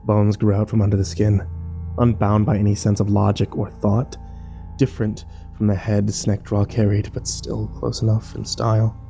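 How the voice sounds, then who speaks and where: Man playing bitter male survivor, first-person horror narration, dark mellow tone, brooding, faint music behind. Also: fairly low pitch, natural voice. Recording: music bed under the voice.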